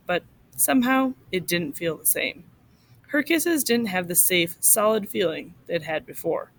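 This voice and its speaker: A woman reading a short story aloud to children in an expressive, instructive way, like a teacher. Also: on the low side, creaky, slightly rough.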